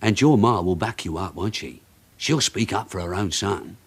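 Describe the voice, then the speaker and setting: An actor playing a blunt, shrewd British police detective sergeant in a radio drama, brisk, commanding and sardonic. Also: male voice, low voice, animated, husky, heavily acted. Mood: pressing, probing.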